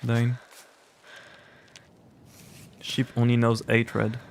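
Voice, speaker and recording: A man's voice: mid-range voice, monotone, drawn-out words, gravelly, a little hiss or hum. A young man with a French accent, chatting casually with his chat while playing a game on a livestream. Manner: chatty, rambling, answers chat. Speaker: streamer.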